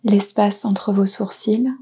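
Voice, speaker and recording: A woman guiding a yoga nidra relaxation, speaking softly and soothingly with pauses between short phrases. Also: medium pitch, steady tone. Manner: soft, breathy, soothing delivery with pauses. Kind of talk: guided relaxation meditation.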